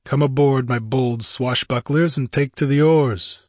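A man voicing a gruff, commanding barbarian warrior. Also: mid-range voice, fairly even intonation, a little slow, a little mellow, no room sound, clean studio sound, voice pushed up, lightly acted. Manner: gruff, imperious, challenging. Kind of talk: dramatic fantasy audiobook character.

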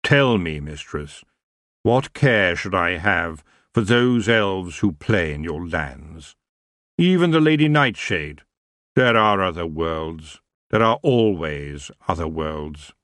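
A languid, seductive king of the elves, acted out by a male reader. He drawls very slowly in a low voice, as if everything bores him. The sound is dry and close. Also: expressive, fairly bright tone, no echo, exaggerated voice. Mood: weary.

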